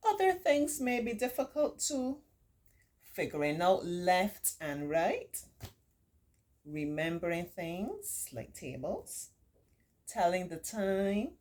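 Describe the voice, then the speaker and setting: A woman reading a picture book aloud to children, with encouraging, simply explained asides and expressive, drawn-out emphasis. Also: medium pitch. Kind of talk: read-aloud story time with chatty asides to children.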